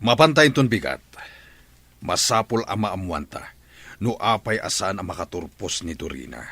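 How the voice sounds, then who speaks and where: A man's voice: deep voice, very animated, normal speed, gravelly, a bit bright, dry recording, exaggerated voice. A man playing a stern, angry middle-aged father in a drama, with a Filipino accent. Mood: stern, upset. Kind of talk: Ilocano radio drama acting.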